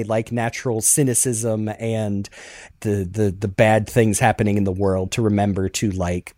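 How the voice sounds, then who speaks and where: A man's voice: mid-range voice, darkish tone, no echo, clean studio sound. A man chatting reflectively as a podcast panelist, earnest and self-deprecating, often feeling for his words in a rambling way. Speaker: podcast panelist, writer of a fan radio play. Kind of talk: reflective casual chat.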